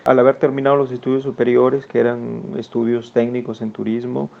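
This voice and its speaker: A man in his forties from Peru with a northern Peruvian Spanish accent, recalling his schooling in a halting way, often repeating words and saying "eh". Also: mid-range voice, monotone, vocal fry, highs cut off. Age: 40s. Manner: halting, repeats words and restarts, many "eh" fillers. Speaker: educator guest. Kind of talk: personal recollection.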